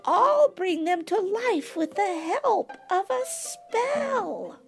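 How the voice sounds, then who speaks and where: Woman putting on a screechy, high-pitched wicked old witch. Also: animated, takes it slowly, creaky, soft background music, full character voice. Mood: scheming, frazzled. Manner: imperious, snapping, dramatic. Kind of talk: storybook character voice.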